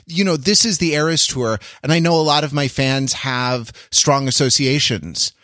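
A man's voice: medium pitch, moderate pace, fairly bright tone, dry recording, studio quality. A man in a casual podcast discussion, talking emphatically and repeating phrases for stress. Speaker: podcast co-host.

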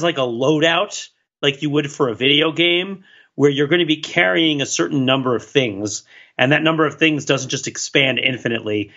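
A man with a bright, forceful voice of middling pitch chats as a podcast host. He is inquisitive, reasoning out loud as he goes. The recording is a little dull and lo-fi. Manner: inquisitive, thinking aloud in analogies. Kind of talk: casual chat.

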